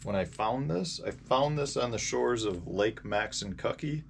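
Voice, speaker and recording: An American man in his forties telling casual, rambling, halting stories as the host of a fishing vlog. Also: mid-range voice, expressive. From Indiana, United States. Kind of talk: casual vlog storytelling about fishing gear. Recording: clear background noise.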